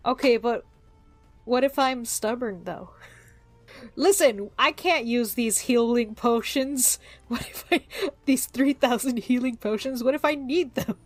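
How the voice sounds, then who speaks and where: A woman's voice: fairly high pitch, unhurried, no echo, soft background music. Casual woman player, close dry sound. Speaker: player in a tabletop game. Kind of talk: casual game chat.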